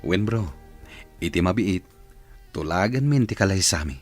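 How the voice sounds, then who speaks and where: A man's voice: sits low, lively intonation, moderate pace, faint music behind. An actor playing a devoted, engaged man in a radio drama. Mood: pleased.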